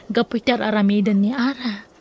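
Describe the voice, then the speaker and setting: A woman's voice: mid-range voice, fairly even intonation, normal speed, warm tone, slightly rough. A woman acting a scolding but apologetic older sister in an Ilocano radio drama, with an Ilocano accent. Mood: apologetic, embarrassed.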